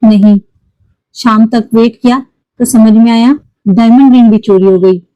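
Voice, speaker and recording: A woman's voice: fairly high pitch, fairly even intonation, a little slow, warm tone, no echo, close to an everyday voice, rough recording. A woman voicing a bossy, suspicious working madam of the house in a toy cartoon, in a commanding manner. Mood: suspicious, hurried.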